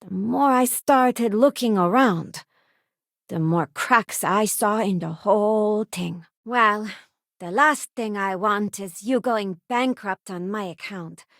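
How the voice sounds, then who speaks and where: A woman's voice: mid-range voice, takes it slowly, a bit bright, dry recording, studio quality. An actress playing an earnest, principled young working-class spaceship crew woman in a video game, frank and conversational. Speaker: video game companion character. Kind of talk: video game voice acting, short character lines.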